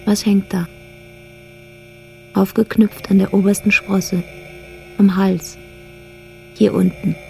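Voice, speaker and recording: An actress playing an uneasy woman drawn into a detective's nightmare in a radio play, speaking in halting fragments. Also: mid-range voice, flat delivery, gravelly, warm tone, soft background music, rough recording. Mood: dread, disbelief. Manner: halting, short fragmented phrases.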